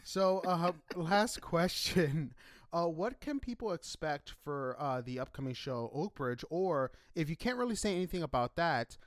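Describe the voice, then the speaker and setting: A man's voice: a little high, lively intonation, measured pace, dry recording, studio quality. A man hosting a casual interview, asking questions in an informal, easygoing way. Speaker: interviewer, show host.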